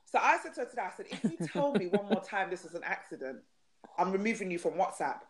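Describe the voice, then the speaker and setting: Brief: young South London woman, podcast guest, blunt and animated storyteller. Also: mid-range voice, fast, a crowd in the background. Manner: frank, swearing, laughs a lot. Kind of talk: casual chat.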